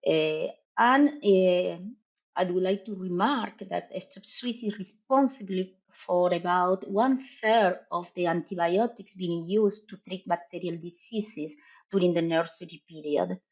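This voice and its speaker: A middle-aged woman from Argentina with a Spanish accent, a veterinary professor explaining her research in a podcast interview, measured and pausing often. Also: fairly low pitch, lo-fi sound. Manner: measured, explanatory, with frequent "uh" pauses.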